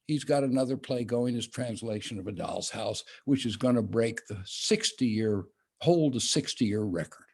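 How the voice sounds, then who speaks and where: A man's voice: medium pitch, moderate pace, darkish tone. A man giving a storytelling introduction to a panel, posing rhetorical questions before handing over to the guests. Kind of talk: spoken introduction.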